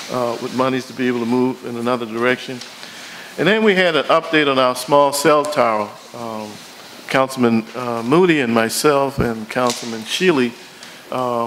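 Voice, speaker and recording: Halting man giving a council report. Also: medium pitch, fairly bright tone, a little hiss or hum, rough recording. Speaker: city council member giving committee report. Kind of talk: spoken meeting report, loosely improvised. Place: council meeting room.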